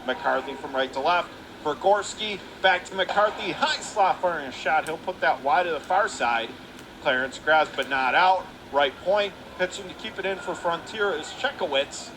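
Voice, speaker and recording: A man calling live hockey play-by-play on radio in clipped running phrases with excited calls. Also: fairly high pitch, normal speed. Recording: noisy live rink recording.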